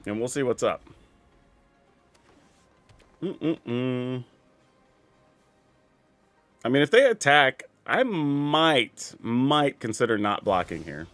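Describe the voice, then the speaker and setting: A stuffy-nosed man talks through a live stream in an easygoing, chatty way, thinking aloud as he plays. His voice sits at an ordinary middle pitch. The sound is dry and close, with no room around it. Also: very animated, slow pace, pauses often, fairly bright tone, dry recording, faint music behind. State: congested from a sinus cold. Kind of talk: casual live-stream chat while playing.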